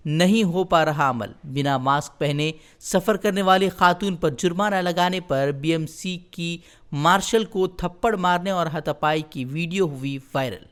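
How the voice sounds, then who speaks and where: A man's voice: on the high side, normal speed, a bit bright, clear, resonant tone. A man reading a scripted news bulletin in formal, headline-style phrases. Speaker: news presenter.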